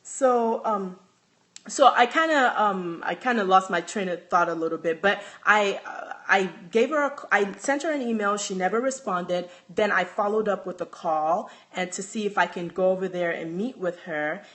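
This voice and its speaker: A woman's voice: medium pitch, normal speed, fairly bright tone. Woman, Nigerian accent, young adult, casual livestream chat, phone mic. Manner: chatty, restarts often, reads out comments. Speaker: handbag designer hosting a livestream. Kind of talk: casual livestream chat answering viewer comments.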